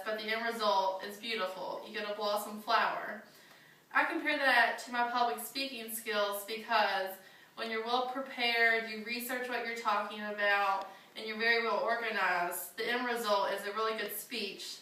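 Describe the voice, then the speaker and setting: Young female student, nervous but earnest speech delivery; bright voice, far camera, echoey classroom. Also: on the high side. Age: young adult. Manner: earnest, slightly nervous, with fillers.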